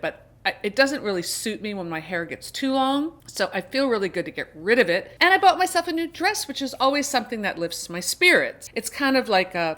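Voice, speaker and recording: A middle-aged woman speaks in a voice that sits a little low for a woman. She talks casually to her viewers as the host of a book vlog. Her delivery is chatty and rambling, with emphatic stresses on the words she cares about. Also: fairly bright tone. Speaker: book reviewer and video host.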